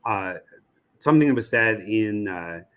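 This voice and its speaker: An older American man with a fairly low voice speaks as an interviewed expert. He explains things analytically, building his sentences in halting pieces and circling back to repeat a phrase. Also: expressive, fairly bright tone, smooth. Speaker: guest, media research executive.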